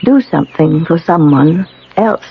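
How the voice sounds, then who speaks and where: An actress playing a serene, sad, wise guardian in an old radio drama, speaking in a measured, gentle, instructive way. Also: female voice, low-pitched, expressive, creaky, exaggerated voice. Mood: gently instructive. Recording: muffled old radio broadcast, faint noise.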